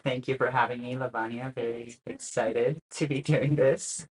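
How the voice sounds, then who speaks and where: A young man speaks at an ordinary middle pitch. He talks casually and a little haltingly, with plenty of "uh" fillers between his thoughts. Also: rough recording. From Spain. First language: Spanish. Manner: relaxed, hesitant with frequent "uh" fillers and soft laughs. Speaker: guest. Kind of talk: casual interview chat.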